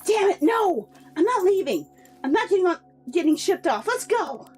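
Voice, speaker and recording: A woman voicing a defiant teenage boy who yells as he refuses to be taken. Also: extremely high pitch, sing-song, moderate pace, faint music behind. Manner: yelling, frantic. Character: defiant teenage older brother.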